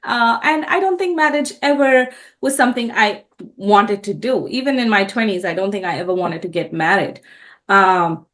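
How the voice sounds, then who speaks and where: A woman's voice: mid-range voice, animated, moderate pace, a bit bright. A woman in her thirties, born and raised in India, telling her life story as an interview guest, earnest and often restarting her sentences. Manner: earnest, many restarts and self-corrections. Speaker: entrepreneur guest.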